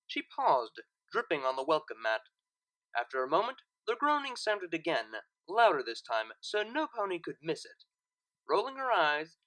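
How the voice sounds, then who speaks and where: A woman reading a scripted story aloud as narrator, with expressive delivery. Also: on the low side, rough recording. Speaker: fan fiction narrator.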